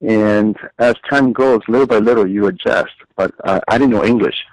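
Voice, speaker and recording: A middle-aged man from Chile recounts his working life in a relaxed, conversational way. He often stops on fillers and repeats words. His voice is low and sounds dry and close. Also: moderate pace, no echo, poor audio. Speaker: guest, business owner. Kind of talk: conversational storytelling.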